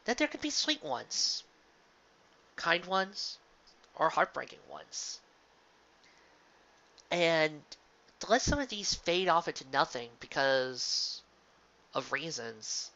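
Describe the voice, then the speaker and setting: A bright, forceful voice speaks slowly and earnestly to an audience. The delivery is halting and sentimental, leaning hard on key words with dramatic pauses. It sounds like a dull, lo-fi home recording. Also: medium pitch, animated. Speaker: live reader of fan fiction. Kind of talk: earnest spoken commentary to an audience.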